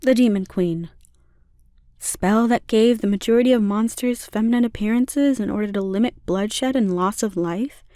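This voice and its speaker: A woman voicing a gentle, caring slime monster girl with playful teasing, in a soft, intimate ASMR roleplay delivery. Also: medium pitch, moderate pace, no echo, studio quality, natural voice. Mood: incredulous teasing.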